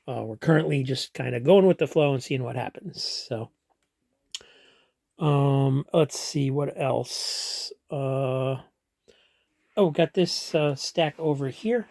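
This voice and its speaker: A man casually walking through his comic collection room, rambling and explaining with frequent ums and restarts, a bit hoarse as he's losing his voice. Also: mid-range voice, slow pace, a little mellow, dry recording. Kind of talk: casual walk-through vlog.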